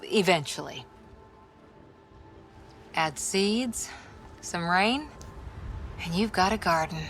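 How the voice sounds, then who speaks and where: A wry, steady alien adventurer in a science fiction game. Her voice sits on the low side and she speaks plainly, in short dry phrases. Faint music plays underneath. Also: slow. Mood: reflective. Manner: terse, dry, plain-spoken. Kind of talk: naturalistic video game acting.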